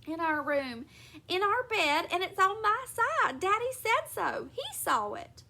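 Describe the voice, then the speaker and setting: Woman doing a shrill squealing, childish mother. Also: measured pace, exaggerated voice. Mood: frightened squealing. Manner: squealing, yelling, whiny.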